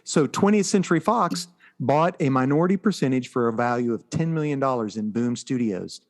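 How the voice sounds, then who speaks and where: A man's voice: mid-range voice, animated, brisk. An American man co-hosting a podcast, chatting casually and with enthusiasm about pop culture. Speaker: podcast co-host.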